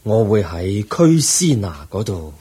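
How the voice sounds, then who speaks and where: An actor playing an aged, serene Buddha in a Cantonese radio drama, with a Hong Kong accent and a solemn, measured, husky delivery. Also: male voice, fairly low pitch, big pitch swings, mellow, exaggerated voice. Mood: solemn teaching. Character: aged, serene Buddha, eighty, weary yet calm teacher.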